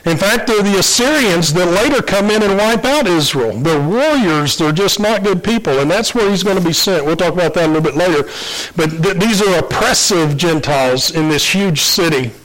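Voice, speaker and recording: A man preaching a conversational Bible lesson, congested from allergies and clearing his throat now and then. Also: high-pitched, animated, moderate pace, a bit bright, rough recording. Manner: conversational, with fillers and restarts. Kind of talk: Bible teaching sermon.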